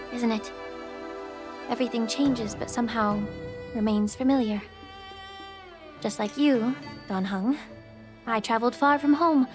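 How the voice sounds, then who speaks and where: A woman voicing a calm, gracious young woman alchemist in a game, speaking gently and reflectively. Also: fairly high pitch, close to an everyday voice. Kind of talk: game voice acting. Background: game music.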